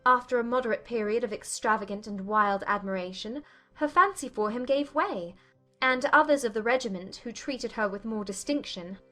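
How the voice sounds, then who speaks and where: A woman playing an earnest, thoughtful young Regency gentlewoman in a dramatized audiobook, speaking in measured, formal phrases. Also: a little high.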